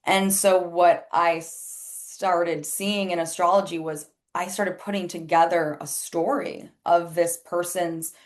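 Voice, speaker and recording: Lowish-voiced chatty woman, spanish is her first language, astrologer guest, lots of "like". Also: steady tone, slow pace, few pauses. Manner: chatty and candid, many "like" fillers, acts out quoted remarks. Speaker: astrologer and life coach, podcast guest. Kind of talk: casual interview chat.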